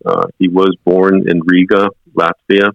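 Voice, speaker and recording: A man's voice: low-pitched, flat delivery, unhurried, gravelly, no echo, dull audio. A sixty-year-old man telling anecdotes about his life as a guest in a conversational interview. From born in United States, moved to Germany as a child.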